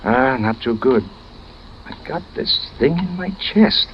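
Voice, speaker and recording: An actor playing a weary, ailing prison warden who speaks haltingly and sounds exhausted, in 1950s radio drama style. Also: male voice, mid-range voice, lively intonation. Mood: weary, pained. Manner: halting, sighing. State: exhausted, in discomfort. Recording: muffled, noisy old radio recording.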